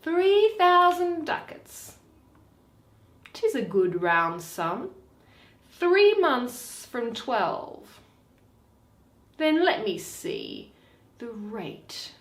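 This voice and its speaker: A shrewd, wary moneylender with a grievance, acted by a woman in a Shakespeare play. The voice sits fairly high and swings widely in pitch, each stress placed with theatrical care. The room adds a clear echo. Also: very animated, heavily acted. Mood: calculating. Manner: emphatic, deliberate, theatrical delivery with swooping stresses. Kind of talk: dramatic Shakespeare reading.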